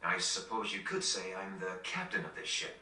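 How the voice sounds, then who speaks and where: An actor playing a kind, modest, easygoing young ship captain in a video game, courteous and genial. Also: male voice, deep voice, fairly even intonation, normal speed, sharp tone, reverberant, distant mic. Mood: gracious, easygoing. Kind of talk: video game cutscene acting.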